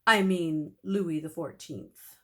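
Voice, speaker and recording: A woman's voice: sits low, slow, exaggerated voice. Woman doing a pompous proclaiming principal-king. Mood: matter-of-fact. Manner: loud, grandly theatrical proclamations. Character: pompous man playing a king with a sword.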